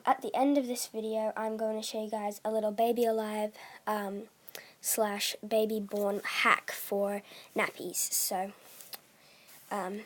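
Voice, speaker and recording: A teenage girl chatting casually in a vlog, soft-spoken, with many fillers, and often trailing off into a whisper. She has a bad cough. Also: mid-range voice. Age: teens. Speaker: doll collector vlogger. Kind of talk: casual chat.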